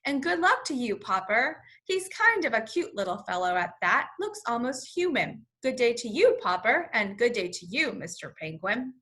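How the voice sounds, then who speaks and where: A woman's voice: fairly high pitch, sing-song, normal speed, hardly any pauses, sharp tone. A woman reading aloud as a puzzled, easygoing male policeman in a children's storybook.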